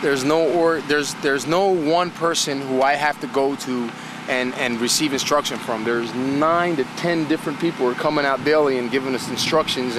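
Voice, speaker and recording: Worker guy, frank and talky, middle pitch, street and a/c noise behind. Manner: frank, explaining, points at a map. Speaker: cleanup worker. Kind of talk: casual street chat. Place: outdoors at a work site.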